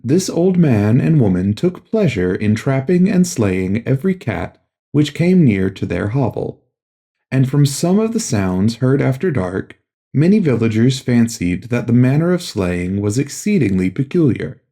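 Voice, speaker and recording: A man narrating a gothic tale from a script as an audiobook, in a measured, solemn storytelling manner. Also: mid-range voice, mellow.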